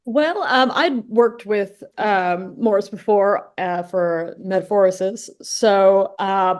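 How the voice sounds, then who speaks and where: Enthusiastic woman chatting casually, mid-pitched. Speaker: writer, panel guest. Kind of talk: casual chat, personal anecdote.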